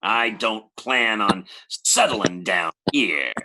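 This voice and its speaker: A man's voice: on the low side, expressive, slow pace, sharp tone, a little hiss or hum, exaggerated voice, poor audio. A man playing a tough, slangy gangster who blusters indignantly in an old-time radio detective drama. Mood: wary bargaining. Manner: streetwise hardboiled slang, exclamatory.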